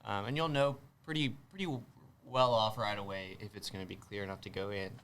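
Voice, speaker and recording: A man's voice: on the low side, steady tone, brisk, fairly bright tone, a little hiss or hum. A man in his twenties from south Texas with an American accent, chatting casually and hesitantly as an interview guest. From south Texas, United States. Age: 20s. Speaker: guest, spearfisher.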